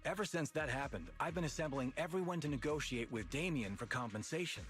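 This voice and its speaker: A man's voice: on the high side, lively intonation, brisk, a bit bright, faint music behind. A man voicing an earnest, determined labor union leader in a video game. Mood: earnest, resolute.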